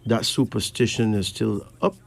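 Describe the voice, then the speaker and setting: A man from Barbados with a Caribbean accent, hosting a late-night radio show in a measured, deliberate way with pauses between phrases. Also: on the low side, creaky. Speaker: radio host giving readings to callers. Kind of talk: late-night radio talk, slow monologue and caller chat. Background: faint music.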